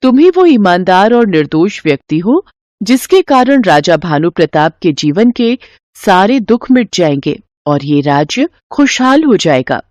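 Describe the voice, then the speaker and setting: A woman's voice: medium pitch, sing-song, measured pace, a little mellow, no echo. A woman voicing a benevolent, solemn goddess who speaks formally in a cartoon moral story. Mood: reassuring. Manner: formal, declamatory, explanatory. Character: benevolent, solemn goddess revealing an old secret.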